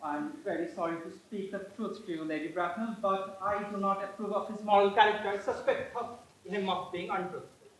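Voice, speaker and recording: A performer plays a Victorian gentleman guardian in stage comedy. The manner is formally polite yet stubborn and fussily indignant, a little halting. The voice sits far from the microphone, swimming in heavy echo. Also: medium pitch, steady tone, natural voice. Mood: polite, firm. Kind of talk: theatrical stage comedy acting. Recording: very echoey distant stage play recording.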